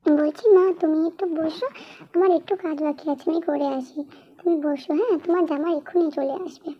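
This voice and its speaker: Woman voicing a very high, quick cartoon village woman. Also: fairly even intonation, dry recording, muffled recording, close to an everyday voice. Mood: polite. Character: scheming, sharp-tongued village mother or daughter-in-law. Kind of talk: cartoon story dubbing. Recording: noisy track with clicks.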